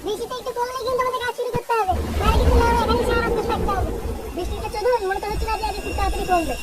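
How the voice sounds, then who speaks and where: A woman voicing a crude, excitable village man in a comedy cartoon, slangy and blustering, with a sped-up cartoon sound. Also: extremely high pitch, very fast, far from the mic, over a noisy crowd, very noisy. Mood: complaining. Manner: slangy, foul-mouthed, blustering.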